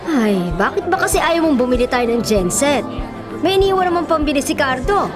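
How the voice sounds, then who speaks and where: Filipino radio-drama shopkeeper wife, kindly and practical, slightly high voice, music and bustle behind. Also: female voice, big pitch swings, a little fast, crowd noise. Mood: mildly complaining. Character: kindly, practical storekeeper wife, patient with relatives.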